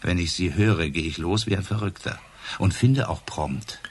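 An actor playing a hard-drinking, sardonic police inspector in a radio crime drama, accusing and probing with sarcasm and theatrical pauses. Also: male voice, low-pitched, a little mellow, a little hiss or hum, lightly acted. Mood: mocking.

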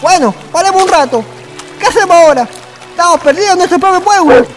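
An actor playing a bossy, gruff village man in a radio drama, speaking colloquially and commandingly with a Chilean accent. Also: very high voice, lively intonation, fast talker. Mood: wary. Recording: long reverb, music behind the voice.

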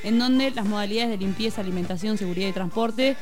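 A woman's voice: mid-range voice, fast, darkish tone, faint music behind. A woman co-hosting a radio program, teasing her co-host and reading news items in a casual, conversational way. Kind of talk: community radio news chat.

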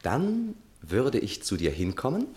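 A man's voice: mid-range voice, huge pitch swings, unhurried, a little mellow. A man acting in a 1960s German radio play as a tipsy, infatuated young journalist, with theatrical, ardent and sometimes stammering delivery. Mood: ardent, pleading.

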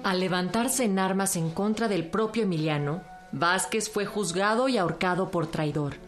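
A woman's voice: medium pitch, moderate pace, soft background music. A woman reading scripted historical narration.

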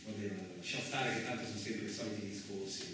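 A man's voice: on the low side, fairly even intonation, fast, lightly acted. Echoey, boastful truck driver, played by a man. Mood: grumbling. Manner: chatty, self-justifying, punctuated with rhetorical asides. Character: boastful, rambling male truck driver with touchy pride. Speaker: actor in a radio theatre monologue. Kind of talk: theatrical radio monologue, colloquial storytelling. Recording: very echoey, distant, clearly noisy recording.

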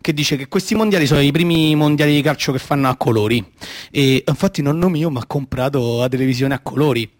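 A man's voice: mid-range voice, quick pace, lightly acted. An actor playing a boastful, naive little boy who loves football and sticker cards, in a radio play, with a Roman accent and hesitant, rambling childish talk. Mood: boastful, explaining. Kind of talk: radio theatre monologue in childish colloquial speech.

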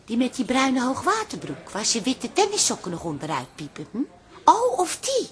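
Radio drama: chatty, teasing working-class wife, high and very lively, affectionate exclamations. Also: female voice, normal speed. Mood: dismayed, mocking. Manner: colloquial, exclamatory, affectionate pet names. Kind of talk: Dutch radio drama acting.

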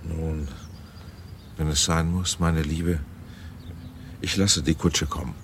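An older military father in a radio play. The voice sits very deep, composed and courteous, with a sceptical, protective streak. Faint ambient noise lies behind it. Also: little pitch movement, takes it slowly, a bit bright, full character voice. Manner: polite, composed, questioning. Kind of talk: radio drama acting.

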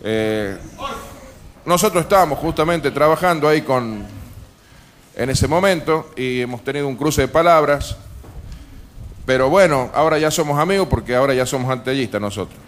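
A man with an Argentine Rioplatense accent, talking casually and in a rambling, halting way as a radio co-host. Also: mid-range voice, a little hiss or hum. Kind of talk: casual live radio talk. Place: bar, live radio broadcast.

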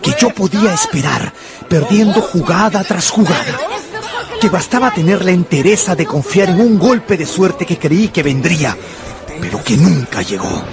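An actor playing an anxious gambler who narrates his own ruin in a radio novel, brooding and intense, with dramatic pauses. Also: high-pitched, animated, creaky, music in the background, crowd noise. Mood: feverish, defiant.

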